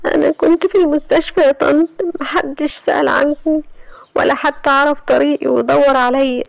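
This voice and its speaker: A distraught young woman, as a female storyteller puts her on, pleading through tears in a high, strained Egyptian voice. The sound is dry and close. Her voice jumps up as she begs and protests. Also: fast talker, warm tone, dry recording, rough recording. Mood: tearful. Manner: high, crying, desperate pleading.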